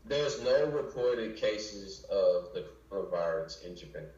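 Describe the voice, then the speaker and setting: Laid-back low-voiced man, muffled and roomy. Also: animated, unhurried, darkish tone, distant mic. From Chicago. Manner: laid-back, slangy, says "you know what I'm saying" often. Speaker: musician guest. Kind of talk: casual interview chat. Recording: echoey lo-fi room, voice from off-mic.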